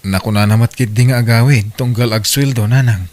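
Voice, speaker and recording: A man with an Ilocano Filipino accent playing a gentle, apologetic farmer husband and worried young father in an audio drama. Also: mid-range voice, brisk, close to an everyday voice. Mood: upset.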